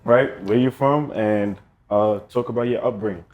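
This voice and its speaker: A man's voice: fairly low pitch, moderate pace, highs cut off. A man hosting a podcast interview, casual and encouraging, with many short affirmations. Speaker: podcast host.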